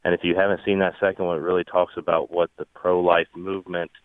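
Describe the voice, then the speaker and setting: A man's voice: low voice, flat delivery, fast, dry recording. A man calling in to a radio show over the telephone, talking casually. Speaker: phone-in caller. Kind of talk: casual radio call-in remarks. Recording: tinny narrow-band phone line.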